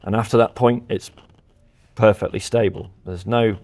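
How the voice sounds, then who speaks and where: Man, low pitch, company presenter, technical explanation, methodical demonstration talk. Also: fairly even intonation, normal speed, a little mellow. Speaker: company representative presenting audio products.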